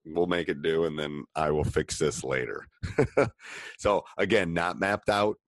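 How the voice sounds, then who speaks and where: A middle-aged man with a deep voice, a little hoarse from a cold, explaining basketball coaching in a casual live session full of ums and restarts. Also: unhurried, no room sound. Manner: conversational, many ums and restarts. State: has a cold. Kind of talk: live online coaching session answering questions. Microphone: handheld mic during live stream.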